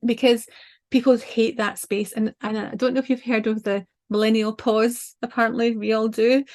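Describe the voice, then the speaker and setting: An older woman with a Scottish accent, casually giving advice over a video call from a small home office, chatty and full of restarts. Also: a little high. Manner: chatty, talks with her hands, many ums and restarts. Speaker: branding designer and show host.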